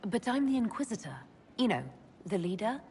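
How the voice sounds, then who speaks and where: A woman playing a composed elf mage who leads an order in a video game, speaking in a measured, wry and concise way. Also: fairly high pitch, sing-song, a little mellow, husky. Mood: wry, agreeable. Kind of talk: video game character acting.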